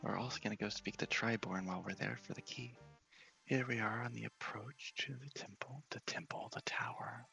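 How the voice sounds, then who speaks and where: A man softly narrating a video game in a casual ASMR style, hushed and often near a whisper. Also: fairly low pitch, steady tone, normal speed, gravelly, soft background music, low-quality recording. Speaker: host of a gaming ASMR series.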